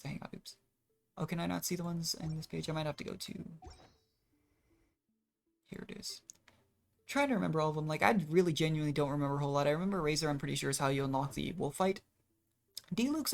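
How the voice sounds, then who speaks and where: A video game streamer chatting casually, rambling and reflecting aloud while playing. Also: medium pitch. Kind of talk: casual chat and commentary while gaming. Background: faint game music.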